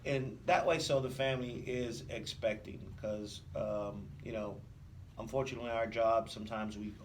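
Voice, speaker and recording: A man speaking as a police officer in an interview, explaining things haltingly and often repeating words. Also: fairly low pitch. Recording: slightly distant mic, faint noise.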